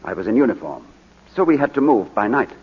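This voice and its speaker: A proud war veteran under cross-examination in a 1940s radio drama. His husky, mid-pitched voice is halting and indignant, with big swings of pitch, on a dull old broadcast recording. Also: sing-song, exaggerated voice. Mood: guarded.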